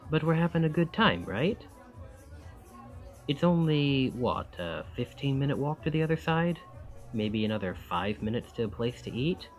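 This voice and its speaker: Charming, lively young male student, acted by a man. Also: medium pitch, normal speed, faint music behind, natural voice. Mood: friendly, coaxing.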